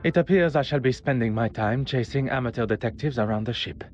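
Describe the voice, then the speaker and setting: An actor playing a self-assured detective, reasoning to himself in formal, deliberate phrases in a mystery game. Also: male voice, medium pitch, a little mellow, highs cut off, faint music behind, lightly acted. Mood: pensive. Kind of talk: inner monologue in a mystery game.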